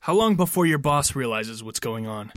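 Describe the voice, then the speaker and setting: A man's voice: mid-range voice, expressive, moderate pace, a bit bright, slight background noise, natural voice. An actor playing a bitter, sarcastic, foul-mouthed henchman of a ruthless boss in an audio drama. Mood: wry, sarcastic. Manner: sardonic, profane.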